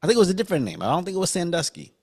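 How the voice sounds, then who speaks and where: Male podcast host, animated and conversational, casually steering a film discussion among friends. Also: high-pitched, rapid-fire, gravelly, over a noisy crowd.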